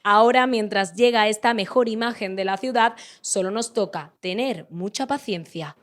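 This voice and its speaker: A woman's voice: medium pitch, moderate pace. Woman reporter reading a news voice-over.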